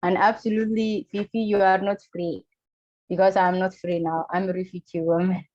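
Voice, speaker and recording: A woman from Somalia giving an earnest talk in a webinar, speaking halting with pauses, over a video call. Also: medium pitch, little pitch movement, warm tone, dull audio. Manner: earnest, halting non-native English with pauses. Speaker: webinar speaker, refugee community leader.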